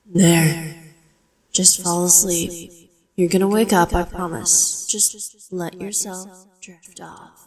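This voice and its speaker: A woman playing a caring, frank witch in an audio roleplay, speaking to the listener in a gentle, conversational way. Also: on the low side, level tone, takes it slowly, long pauses, a crowd in the background. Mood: worried, soothing. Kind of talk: intimate audio roleplay acting, addressing listener.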